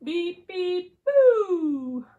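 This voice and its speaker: A woman's voice: very high voice, big pitch swings, drawn-out words, dark tone, muffled recording, pitched above the performer's own voice, exaggerated voice. A woman voicing a cheerful, friendly little truck in a children's picture-book read-aloud, exclaiming in a sing-song way. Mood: excited, inviting.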